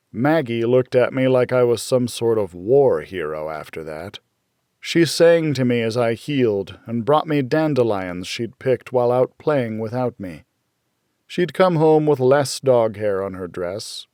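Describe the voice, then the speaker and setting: A man reading a dark first-person thriller as an audiobook, in a measured, dramatic storytelling manner. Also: mid-range voice, dry recording, clean studio sound. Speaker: audiobook narrator.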